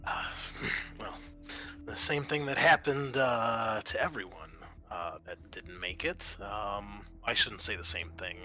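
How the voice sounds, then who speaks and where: A man working as game master, improvising tabletop narration in a halting, thinking-aloud way. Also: fairly low pitch, bright, forceful tone, soft background music.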